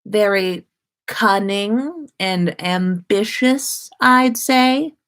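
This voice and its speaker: A proud young father in a storybook, as a woman reads him. He is fond and a little dry, with a mock-stern streak and slow, deliberate words. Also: medium pitch, fairly bright tone, clear, resonant tone, pitched above the performer's own voice. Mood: mock disappointed.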